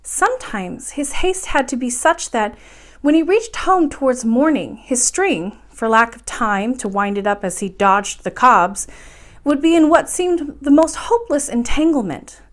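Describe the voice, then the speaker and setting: A woman reading a children's story aloud as a storyteller, with expressive, measured phrasing and dramatic emphasis. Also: high voice.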